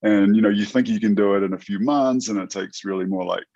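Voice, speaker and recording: A New Zealand man in his fifties with an American accent, a tech entrepreneur talking through an interview in a halting way, full of restarts and fillers. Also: fairly low pitch, mellow, dry recording, highs cut off. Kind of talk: casual interview chat.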